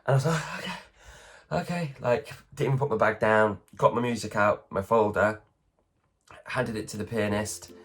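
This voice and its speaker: Low-voiced chatty man, worn out after a late night. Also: normal speed, a bit bright. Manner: chatty, self-deprecating, acts out others' lines. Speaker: vlogger, performer. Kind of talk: casual morning storytelling. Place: at home.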